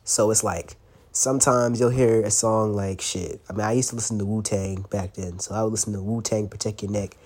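A young man talks through a casual solo podcast while fighting a cold. His voice is congested and stuffy, sitting fairly low with a husky, breathy edge. He rambles in loose slang and swears freely. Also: steady tone, a little fast, darkish tone. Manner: loose and slangy, swearing, laughing now and then. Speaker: podcast host.

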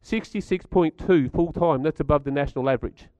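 Croaky man giving a talk. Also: on the high side, brisk, dark tone, no room sound, low-quality recording. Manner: chatty, stats-driven, asks the audience questions. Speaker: speaker at a community gathering. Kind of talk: talk to a live audience.

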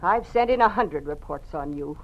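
A woman's voice: low-pitched, lively intonation, moderate pace. An actress playing a spiteful, gloating party informer in an old-time radio drama, taunting and sneering as she talks. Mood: vindictive, scornful. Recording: dull, band-limited old radio recording.

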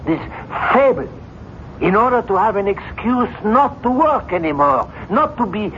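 High-pitched, blustering stern doctor. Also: male voice, expressive, a little slow, fairly bright tone. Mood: pressing, lecturing. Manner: emphatic, lecturing, declamatory. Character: stern, blustering male French doctor, scornful and pushy. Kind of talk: 1970s radio drama acting. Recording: muffled, noisy 1970s radio recording.

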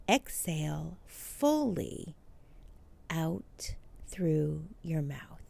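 A woman leading a guided meditation as host, speaking soothingly, with phrases that often trail into a breathy near-whisper. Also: lowish voice, warm tone.

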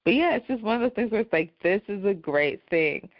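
A woman's voice: mid-range voice, lively intonation, fairly quick, no room sound, highs cut off. A woman co-hosting a podcast in casual chat, with a dry way of talking. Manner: dry delivery. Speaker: podcast co-host.

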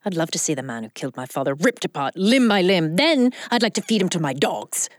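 Flamboyant rich old widow, swooping delivery. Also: female voice, fairly low pitch, sing-song, fairly quick, dry recording, clean studio sound. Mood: bitter, vengeful. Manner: theatrical, grand, flirtatious drawl. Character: flamboyant, lusty, vengeful wealthy widow in her seventies. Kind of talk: audio drama acting.

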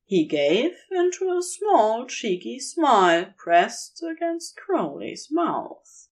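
A woman's voice: a little high, very animated, slow pace. A woman narrating scripted romantic fan fiction in an intimate storytelling style.

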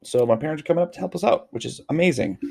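A man's voice: a little high, lively intonation, rapid-fire, gravelly, mellow, breathy edge. A man in his forties co-hosting a podcast, chatting casually, rambling and correcting himself, laughing easily. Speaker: podcast co-host.

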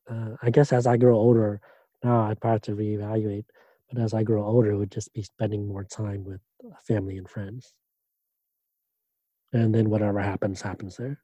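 A man, a doctoral student, answering interview questions in a relaxed way, pausing often with fillers and restarts. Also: on the low side, no echo, rough recording. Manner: hesitant, many fillers and restarts.

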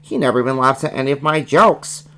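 A performer with a woman's voice playing a gentle, worried young bear cub in a children's storybook. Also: medium pitch, quick pace, voice pushed up.

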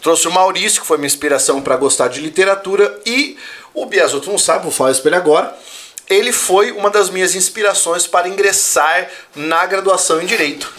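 A man's voice: high voice, a little fast, fairly bright tone. A man hosting a podcast in a friendly way, reading out viewers' greetings and chatting with his guest. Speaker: podcast host. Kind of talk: casual chat.

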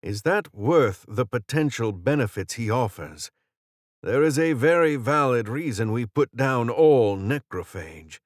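A man's voice: medium pitch, animated, a little slow, dry recording, clean studio sound, voice pushed up, lightly acted. A man voicing an aging British council leader, articulate, formal and wry, in a fantasy audiobook. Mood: grave, concerned.

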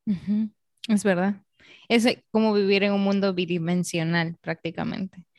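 A woman from Peru hosting a casual conversation, speaking thoughtfully with pauses. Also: mid-range voice, fairly even intonation, darkish tone, no room sound.

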